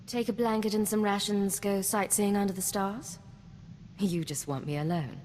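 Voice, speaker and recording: A woman playing a confident, wry commanding agent in a video game, with a cool, brisk manner. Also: medium pitch, steady tone, a little mellow, close to an everyday voice. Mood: light, wry. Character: confident, wry female imperial intelligence agent, commanding officer. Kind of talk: scripted video game dialogue. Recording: long reverb, audible background noise.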